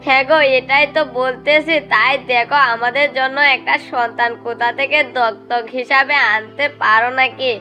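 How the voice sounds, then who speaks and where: Woman playing pleading cartoon ghost wife, high bright voice, plaintive, wistful, soft music bed. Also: fairly even intonation, moderate pace, hardly any pauses. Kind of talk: amateur storybook cartoon voicing.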